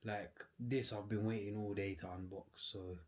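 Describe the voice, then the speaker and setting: A man's voice: on the low side, steady tone, normal speed, darkish tone. A man with a cold, sniffling as he chats casually to camera while unboxing clothes at home, laid-back and slangy. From United Kingdom. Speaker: vlogger. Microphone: camera on a tripod. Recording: clear background noise.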